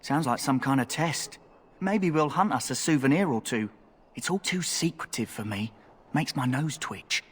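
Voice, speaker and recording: An actor playing a cheeky, streetwise young rogue recruit in a fantasy video game, chatty and wry. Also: male voice, medium pitch, expressive, moderate pace, slightly rough. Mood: wry, uneasy.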